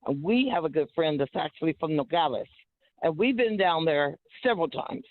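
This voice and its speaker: Loud, chatty woman caller on a phone line. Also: low-pitched, expressive, normal speed. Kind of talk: call-in storytelling. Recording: dry tinny phone line.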